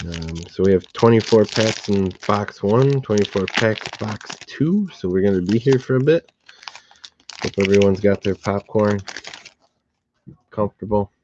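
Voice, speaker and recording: A slightly hoarse man hosting a card box break, calmly listing each card and who it goes to. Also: on the low side, muffled recording, noisy background. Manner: steady, unhurried listing of cards and recipients. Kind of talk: relaxed live box-break commentary.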